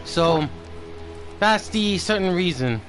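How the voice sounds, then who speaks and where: A man's voice: high voice, expressive, takes it slowly, a bit bright, soft background music. A man livestreaming a game in casual chat, grumbling and swearing freely as he talks. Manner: profane, grumbling.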